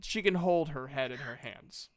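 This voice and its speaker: A man's voice: medium pitch, moderate pace, a crowd in the background. A man running a tabletop game as game master, narrating casually with an informal, playful, reassuring manner.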